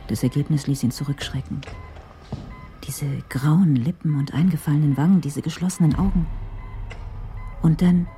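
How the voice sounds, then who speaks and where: A woman narrates a crime radio play with literary care. Her voice is very low and dark, soft at the edges, and she moves through the story in a measured way. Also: fairly even intonation, soft background music.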